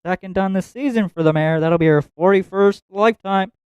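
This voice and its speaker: Track announcer: man, very high and crystal-clear voice, rapid rhythmic race call. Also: a little mellow, dry recording. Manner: rapid, rhythmic listing of positions and times. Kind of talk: live harness race call.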